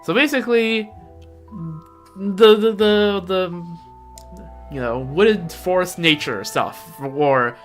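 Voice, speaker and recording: Bright, high-pitched male game streamer, non-native English. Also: faint music behind. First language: not English. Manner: halting, casual, reacting aloud. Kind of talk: live game stream commentary, reading lore aloud.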